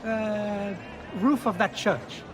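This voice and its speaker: A man voicing a playful young older brother in a video game, teasing and expressive. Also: high-pitched, slow pace, over music. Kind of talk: video game cutscene acting.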